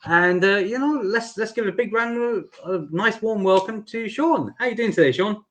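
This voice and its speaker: A man's voice: sits high, normal speed. A man with a British accent hosting a live-streamed interview, upbeat and talking straight to the viewers. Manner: upbeat, addresses viewers directly. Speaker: host of a mortgage adviser group live stream.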